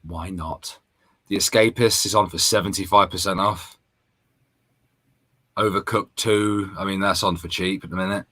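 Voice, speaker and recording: Male co-host for a gaming stream, low voice, casual joking banter with some swearing. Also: steady tone, moderate pace, long pauses, a bit bright.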